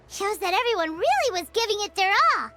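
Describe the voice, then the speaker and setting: A woman's voice: very high voice, big pitch swings, moderate pace, loud music behind the voice, full character voice. An actress voicing a cheerful, caring young girl in a video game.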